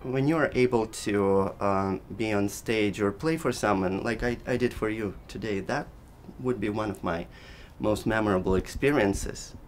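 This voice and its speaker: A middle-aged man whose first language is Russian, a clarinet professor speaking thoughtfully and measuredly in an interview, with pauses and restarts. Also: lowish voice, slight background noise. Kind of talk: reflective interview. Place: living room with daylight window.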